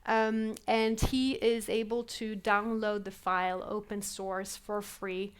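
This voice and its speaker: A woman's voice: medium pitch, little pitch movement, slow pace, smooth. A woman giving a live keynote talk at a conference, reflective and full of fillers, often asking the audience questions, speaking English as a with as her first. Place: conference hall.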